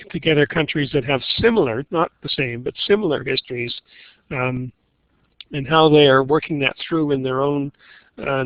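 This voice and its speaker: A man's voice: medium pitch, no echo. A man moderating an online webinar panel, speaking hesitantly with many fillers and restarts. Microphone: computer mic on a web call. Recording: muffled lo-fi web call audio.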